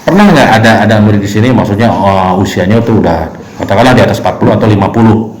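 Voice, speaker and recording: A man asking casual interview questions in an informal, halting way, rephrasing as he goes. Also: fairly low pitch, a little mellow, slight background noise. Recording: echoey room.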